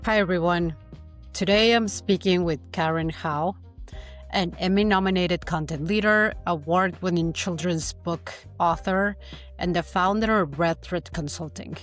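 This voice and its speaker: A woman hosting a podcast, giving a scripted introduction and asking questions in a friendly, measured way. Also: fairly low pitch, soft background music. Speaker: podcast host.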